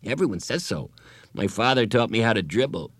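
A man voicing an anxious, excitable young schoolboy in an audiobook, high, eager and whiny. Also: fairly quick, no echo. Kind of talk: audiobook character voice.

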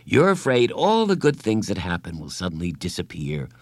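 A man voicing a gentle, patient woman school counselor in an audiobook, speaking calmly and kindly. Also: deep voice, normal speed, dry recording. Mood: reassuring. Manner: calm, kind, reassuring. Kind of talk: audiobook character voice.

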